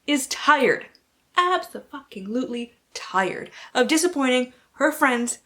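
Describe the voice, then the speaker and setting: A woman's voice: high-pitched, animated, unhurried, fairly bright tone. A woman hosting a book vlog, talking casually with wry, theatrical delivery and plenty of swearing. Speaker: book vlogger, channel host. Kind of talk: casual chat to camera with playful dramatic book-blurb readings.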